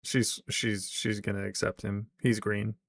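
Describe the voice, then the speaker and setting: A man's voice: lowish voice, flat delivery, a little slow, background noise. A man streaming his reactions to a video in blunt, incredulous casual talk, swearing freely.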